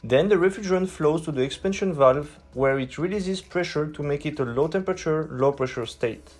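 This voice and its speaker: Male host, strong French accent, calm explainer voice-over, soft music behind. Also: on the high side, fairly quick. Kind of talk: scripted explainer narration.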